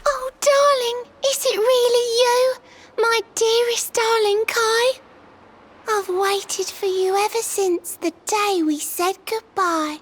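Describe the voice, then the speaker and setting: Video game role: expressive young female, extremely high, measured pace, noticeable noise behind. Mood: yearning.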